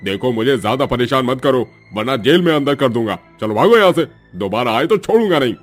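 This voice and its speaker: A man's voice: mid-range voice, expressive, brisk, faint music behind, natural voice. A man voicing an irritated, uncaring police inspector in a cartoon story, brusque and threatening.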